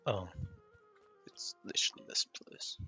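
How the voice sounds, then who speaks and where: A man's voice: unhurried, bright, forceful tone, faint music behind. A man livestreaming a video game, muttering brief, casual reactions to himself. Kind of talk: casual gameplay commentary.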